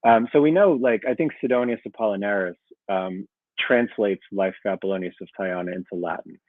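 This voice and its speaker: A man with a fairly low voice answers a question at length, thoughtful and full of fillers. His voice comes over a tinny, phone-like video call line, and the sound is dry with no room echo. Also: a little fast. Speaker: guest lecturer. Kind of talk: academic Q&A answer.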